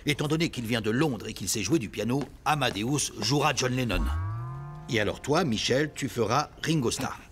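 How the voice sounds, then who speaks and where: A man's voice: mid-range voice, animated, normal speed, music in the background, close to an everyday voice. A man voicing a bossy, earnest amateur theatre director in a French cartoon, announcing things self-importantly. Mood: bossy, officious. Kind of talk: French animated series acting.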